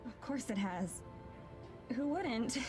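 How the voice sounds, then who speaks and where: A woman voicing a caring, trusting young woman in a visual novel, with a soft and reassuring delivery. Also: a little high, expressive, slow pace, over music.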